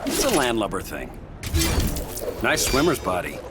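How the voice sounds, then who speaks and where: A man's voice: medium pitch, huge pitch swings, a little slow. A man playing an irreverent, wisecracking superhero in a video game, joking and flippant. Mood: flippant, teasing. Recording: loud music behind.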